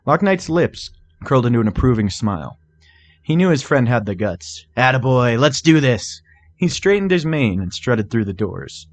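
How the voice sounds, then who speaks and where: A man's voice: fairly low pitch, darkish tone. Man narrating a fantasy fan story. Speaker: fan fiction reader, narrator. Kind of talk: expressive scripted story narration.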